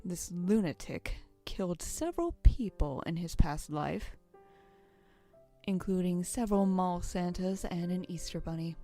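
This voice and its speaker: Woman acting stern disapproving woman, lowish, dark tone, weary exasperation, audio drama. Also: expressive, a little slow, soft background music. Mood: exasperated.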